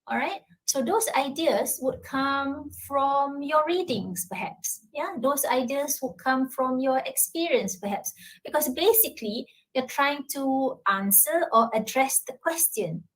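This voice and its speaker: Woman, Malaysian English accent, lecturer, fairly high voice, answers questions, keeps adding "yeah?" and "all right?". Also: animated, unhurried, rough recording. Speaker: university lecturer.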